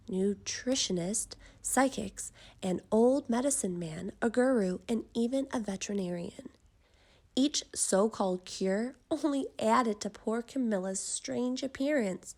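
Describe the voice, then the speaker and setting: A woman reading a children's story aloud with expressive, deliberate and dramatic phrasing. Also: mid-range voice.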